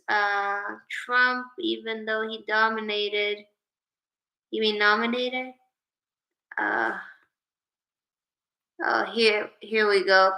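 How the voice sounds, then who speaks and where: A woman's voice: a little high, sharp tone, echoey room, rough recording. A woman from Sweden hosting a casual livestream, greeting viewers by name and musing about consciousness. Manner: chatty, reads viewer names aloud, drifts into spiritual musing. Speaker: livestream host. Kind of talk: casual livestream chat reading comments.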